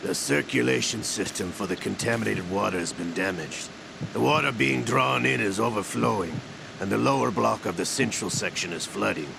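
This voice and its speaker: Game villain, older intelligence officer: very gravelly, formal, evasive, clear background rumble. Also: male voice, moderate pace. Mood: measured, businesslike. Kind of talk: video game cutscene acting. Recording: noisy vehicle-like ambience behind.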